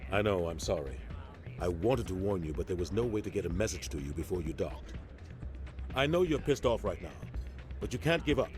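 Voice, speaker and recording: An actor playing a loyal, determined middle-aged captain in a video game, speaking gravely and resolutely. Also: male voice, deep voice, animated, fairly quick, over music. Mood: grave, urging. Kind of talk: dramatic video game acting.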